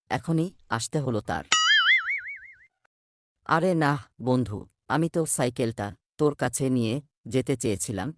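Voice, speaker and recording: A man's voice: mid-range voice, no room sound, studio quality, natural voice. A man voicing a boastful young boy who bluffs to his friends in casual cartoon dialogue. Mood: worried aside, then casual, evasive.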